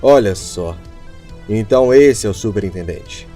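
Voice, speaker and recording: A man's voice: on the low side, lively intonation, unhurried, dark tone. A Brazilian man playing a defiant, mocking intruder in an audio drama. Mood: smug, mocking. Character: defiant, mocking male intruder in a secret archive. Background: music.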